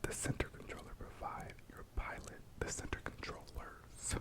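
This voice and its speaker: A man whispering and speaking softly as he reads aloud for ASMR, hushed and gentle, with a breathy sound. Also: normal speed. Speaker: ASMR host. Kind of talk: ASMR, whispered and soft-spoken article reading.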